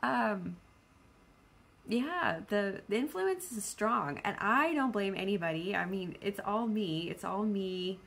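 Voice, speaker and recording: A woman's voice: medium pitch, lively intonation, slow, vocal fry, fairly bright tone. A woman chatting casually and spontaneously as a book vlogger, rambling in a playful, self-deprecating way.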